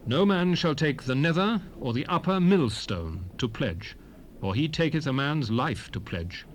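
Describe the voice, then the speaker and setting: A man's voice: medium pitch, expressive, natural voice. Solemn lawgiver played by a male actor for Bible drama: declamatory, wide-ranging intonation, faintly hissy old cassette sound. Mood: solemn, commanding. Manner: declamatory, deliberate, archaic diction. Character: solemn lawgiver proclaiming ancient commandments. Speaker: narrator reading scripture. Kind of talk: dramatized King James Bible reading. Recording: hissy 1978 cassette rip.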